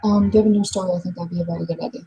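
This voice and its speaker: A woman playing a wary but polite werewolf sept member, probing in a measured, hesitant way during a roleplaying game. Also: fairly low pitch, fairly even intonation, dark tone, muffled recording, slight background noise, natural voice.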